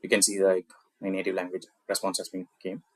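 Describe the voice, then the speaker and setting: Man, South Indian accent, native Tamil speaker, deep voice, dry close sound, step-by-step software demo. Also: completely flat delivery, fairly quick, dry recording. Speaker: tutorial presenter. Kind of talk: software demo walkthrough, explanatory.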